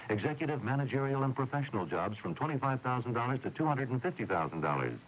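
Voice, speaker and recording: A man's voice: mid-range voice, sing-song, fast, lo-fi sound. An American man reading a scripted radio advertisement as a commercial announcer. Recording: faintly hissy old radio recording.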